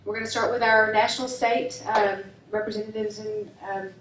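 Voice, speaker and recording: A woman's voice: mid-range voice, little pitch movement, normal speed. Lady running a forum, calling out who's next, distant with lots of room noise. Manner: casual, checks the room with questions. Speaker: forum moderator. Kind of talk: announcing speakers. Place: community hall. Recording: echoey hall from far off, clear background noise.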